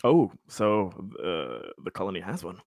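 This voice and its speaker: A man's voice: sits low, huge pitch swings, measured pace, creaky, darkish tone, no echo, studio quality. A man taking part in a tabletop roleplaying game as a player, talking casually.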